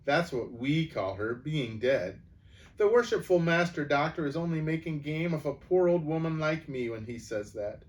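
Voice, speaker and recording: Man putting on a shrill old hag, whining, wheedling, raised pitch, storybook. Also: moderate pace, lightly acted.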